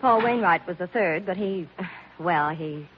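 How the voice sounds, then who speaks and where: An actress playing a polite, helpful young foundation worker in a 1940s radio drama, with an American accent and proper diction. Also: female voice, lowish voice, measured pace, slight background noise, lightly acted. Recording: muffled 1940s radio recording.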